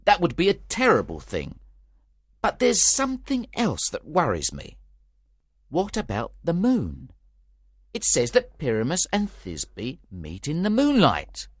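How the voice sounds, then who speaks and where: A man's voice: a little high, wildly swooping pitch, a little slow, dry recording, clean studio sound, voice pushed up, exaggerated voice. A man voicing an earnest, fretful amateur actor and organiser in a storybook. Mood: worried, agreeable. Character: Quince and fellows, anxious earnest male amateur players.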